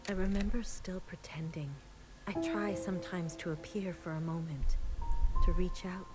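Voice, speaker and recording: An actress voicing a bitter, secretly rebellious clone sister in a measured, confessional game monologue, sometimes breathy. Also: female voice, lowish voice, fairly even intonation, over loud music, natural voice. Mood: haunted, resentful.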